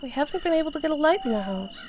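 A woman's voice: sits high, lively intonation, rapid-fire, darkish tone, slight background noise. A woman playing a whiny, easily fascinated young stepsister in a fairy-tale audio drama. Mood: complaining.

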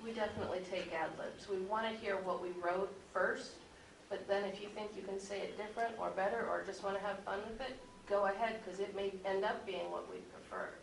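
A woman's voice: medium pitch, fairly even intonation, fairly quick, vocal fry, few pauses. A woman audio drama writer speaking in a matter-of-fact way on a panel. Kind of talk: panel discussion. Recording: echoey room, distant mic, faint noise.

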